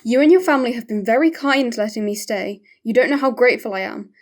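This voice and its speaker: A woman's voice: fairly high pitch, fast talker, natural voice. A woman playing a polite but firm, exasperated house guest in an amateur comedy murder mystery.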